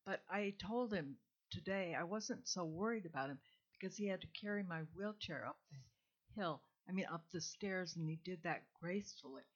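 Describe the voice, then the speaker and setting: An older woman with a low, grounded voice gives an informal talk to a live crowd, candid and chatty with a dry humor. The sound is dull and a bit lo-fi, as if picked up from a microphone in a hall. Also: moderate pace. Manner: candid, chatty and warm-humored, with soft laughs. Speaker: invited speaker.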